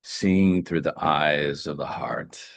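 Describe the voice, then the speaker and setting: A man with a very deep, low voice leads a guided meditation. He speaks calmly and gently, leaving deliberate pauses between phrases. The sound is close and dry. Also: no room sound. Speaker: meditation teacher.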